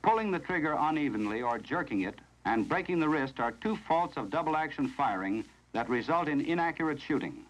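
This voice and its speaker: An American man with a slightly high-set voice narrates an instructional film from the 1970s. His delivery is formal, scripted and measured, giving clear instructions. It has the sound of a vintage film soundtrack. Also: animated, poor audio.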